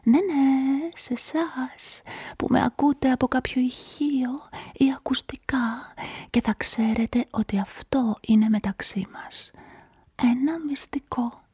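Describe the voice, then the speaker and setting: A nervous, breathy narrator. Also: female voice, high-pitched, mellow. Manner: confiding, often drops to a whisper. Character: nervous, talkative female events manager caught up in a murder. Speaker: narrator and main character, events manager. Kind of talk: first-person radio drama narration with whispered asides.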